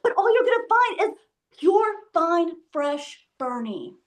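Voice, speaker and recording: A woman's voice: extremely high pitch, very animated, slow, heavily acted. A woman acting out a brazen, flippant female ex-convict drug dealer with a glib, breezy manner in a script table read. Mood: cocky.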